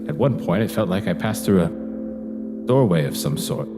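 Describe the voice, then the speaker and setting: Loud guitar music sits behind a low-voiced male mayor. He is commanding yet bewildered, and his emphatic, theatrical delivery swings widely in pitch, in radio drama style. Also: lively intonation, moderate pace. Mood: earnest, puzzled.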